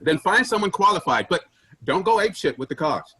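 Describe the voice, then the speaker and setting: A man's voice: high voice, very animated, a little fast, sharp tone, husky, heavily acted. A man in a staged play reading, acting a domineering office boss who is brusque, sarcastic and abrasive. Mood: bossy, impatient.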